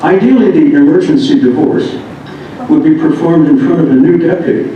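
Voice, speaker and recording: A man's voice: a little high, a little fast. Echoey, distant American man reading aloud. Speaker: reader of another writer's memoir. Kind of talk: reading aloud first-person humorous anecdotes. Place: echoey indoor room. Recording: echoey room, distant mic, dull, faint noise.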